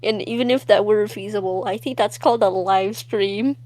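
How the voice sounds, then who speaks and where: A woman's voice: medium pitch, normal speed, darkish tone. A woman reading a fanfic aloud and then chatting casually in a group voice call, laid-back and giggly. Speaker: fanfic reader.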